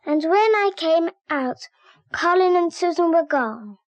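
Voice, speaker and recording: A woman's voice: very high voice, expressive, a little slow, no room sound. A woman voicing an earnest young child who narrates a scripted safety cartoon. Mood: earnest, simple.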